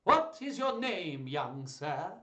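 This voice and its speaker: A man voicing a hearty, welcoming older duke in a storybook, loud and drawn-out. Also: a little high, big pitch swings, pitched above the performer's own voice, heavily acted. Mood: hearty welcome. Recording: roomy, loud, distant microphone.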